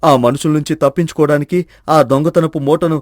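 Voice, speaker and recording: A man's voice: on the high side, fairly even intonation, a little fast, dry recording, studio quality, close to an everyday voice. A man playing a desperate thief in a storybook melodrama, pleading in a plaintive, anguished manner. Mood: pleading, contrite. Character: desperate, pleading male thief, devoted to sick wife.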